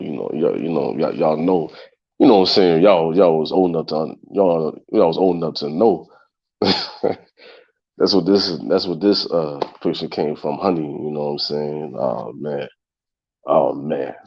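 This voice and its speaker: Very deep gravelly congested man. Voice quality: gravelly and husky. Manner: loose and repetitive, speaking straight to his listeners. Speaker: podcast host. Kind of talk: casual solo talk show. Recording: dull lo-fi sound.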